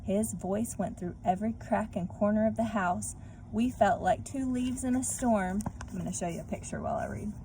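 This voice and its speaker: Expressive woman reading aloud to children. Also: medium pitch, moderate pace. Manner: expressive, addressing children directly. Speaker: read-aloud teacher. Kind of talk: children's story read-aloud with questions to listeners. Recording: noisy room with clear background noise.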